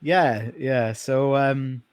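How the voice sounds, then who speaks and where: Slow-speaking North Welsh man. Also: mid-range voice, clean tone, no echo. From Wales. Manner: unhurried, hesitant with many ums. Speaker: pastor. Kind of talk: podcast interview.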